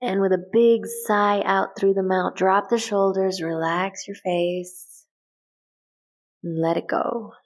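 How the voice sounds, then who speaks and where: A woman leading a guided meditation in a calm, gentle, unhurried way with short measured phrases. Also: mid-range voice. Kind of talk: guided meditation, soft instructional narration.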